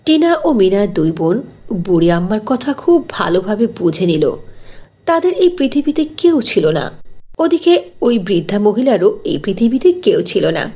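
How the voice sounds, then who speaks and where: A woman's voice: mid-range voice, sing-song, moderate pace, mellow, slightly rough. A woman narrator reading a scripted fairy tale in a storytelling style.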